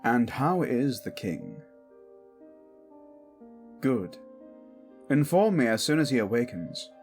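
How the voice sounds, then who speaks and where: A man's voice: mid-range voice, very animated, slow, a little mellow, faint music behind. A man playing a protective exiled prince standing in as king, firm, formal and weary, in a fantasy audio drama. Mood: guarded, weary. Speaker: voice actor.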